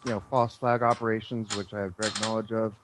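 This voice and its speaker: A man with a fairly low voice is a guest on a radio interview. He speaks conversationally, in halting phrases, and is firm about his opinions. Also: little pitch movement, noisy background. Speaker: interview guest, former air traffic controller.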